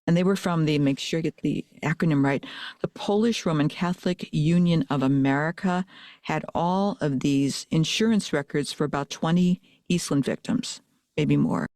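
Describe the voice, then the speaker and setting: Eager male podcast host on a call, higher voice, dry close sound. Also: normal speed, a little mellow, no room sound. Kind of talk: casual interview chat. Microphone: video call.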